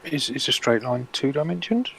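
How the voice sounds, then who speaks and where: Guy on a stream, gravelly voice, dry little comments. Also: medium pitch, very animated, normal speed, a little hiss or hum. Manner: dry, wry interjections. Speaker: livestream co-host. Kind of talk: casual chat.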